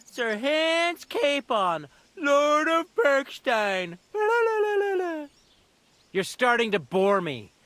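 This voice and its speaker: A man's voice: extremely high pitch, very animated, very slow, a bit bright, no room sound, heavily acted. An actor playing a scornful, mocking local man in a video game, smug and derisive. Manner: sneering, derisive. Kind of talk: dramatic video game dialogue.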